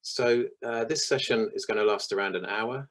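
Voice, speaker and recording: A man with a very low, deep voice hosts an online panel. He is hoarse and croaky from losing his voice, and his talk is full of ums and small restarts. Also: normal speed, fairly bright tone, slight background noise. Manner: hesitant, with frequent ums. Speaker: webinar host. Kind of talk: hosting a video-call panel. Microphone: video call.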